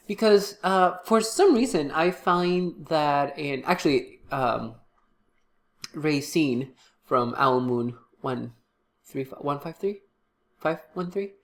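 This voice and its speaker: A man with a cold, sounding congested, talking casually to camera about his tarot decks with many pauses and restarts. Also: mid-range voice, a bit bright. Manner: halting, many fillers and restarts. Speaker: tarot reader and channel host.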